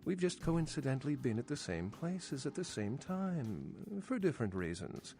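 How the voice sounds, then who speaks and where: An actor playing an urbane, wry older vampire in a video game, speaking in a measured, formal, dryly sardonic way. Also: male voice, mid-range voice, very animated, mellow, a little hiss or hum. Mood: wry, probing. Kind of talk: video game character dialogue.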